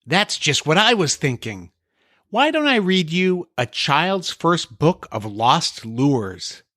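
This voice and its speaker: A man's voice: on the high side, sing-song, takes it slowly, pushed and bright, dry recording, studio quality. A man hosting a podcast, delivering scripted comic dialogue and storybook reading. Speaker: podcast host.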